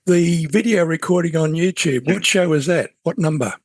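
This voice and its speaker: A man's voice: fairly high pitch, normal speed, no echo. A man casually and jokily asking questions as an audience member in an online meeting.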